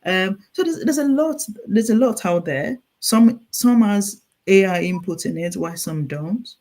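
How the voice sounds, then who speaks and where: A woman's voice: medium pitch, animated, measured pace, a little mellow. Woman, Nigerian accent, nurse specialist guest, earnest, urging, repeats and restarts. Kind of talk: earnest explanatory interview talk.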